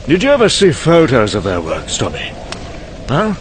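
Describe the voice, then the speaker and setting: Actor as sardonic British scientist, swooping delivery. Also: male voice, mid-range voice, huge pitch swings, moderate pace, slight background noise. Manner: sardonic, erudite, with sudden bursts of temper. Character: cynical, boozy British male scientist narrating the apocalypse. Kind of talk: dramatic monologue in an audio comic.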